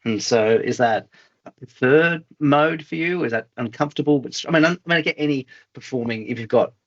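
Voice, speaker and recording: Guy doing an interview, normal pitch, chatty, rewords his questions a lot, slightly dull recording. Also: fairly quick, a bit bright. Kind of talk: casual conversational interview.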